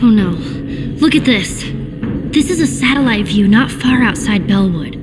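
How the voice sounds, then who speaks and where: A woman's voice: fairly high pitch, lively intonation, normal speed, long reverb tail, music in the background, natural voice. An actress playing a clever, level-headed teenage girl with an earnest, explanatory delivery in a cartoon video game. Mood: concerned.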